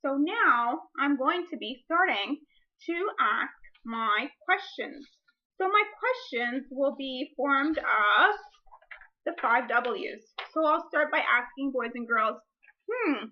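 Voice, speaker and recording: A woman with a high-pitched voice demonstrates how to read aloud to children. She speaks as a teacher, deliberately, drawing out wondering questions. The recording is dull and lo-fi.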